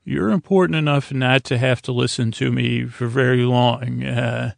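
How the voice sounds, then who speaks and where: A man with a creaky voice hosting a bedtime podcast, rambling in a halting, lulling and soothing way to help listeners sleep. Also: mid-range voice, steady tone, dry recording, clean studio sound. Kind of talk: rambling, meandering monologue for falling asleep.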